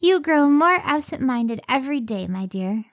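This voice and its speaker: A woman's voice: high-pitched, lively intonation, normal speed. A woman playing a devoted, hard-working scientist wife in an old time radio drama. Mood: fond, gently chiding.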